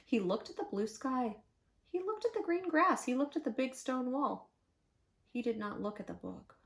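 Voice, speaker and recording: A woman reading a children's picture book aloud expressively, with dramatic, emphatic pauses. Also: sits high, lively intonation. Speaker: storyteller.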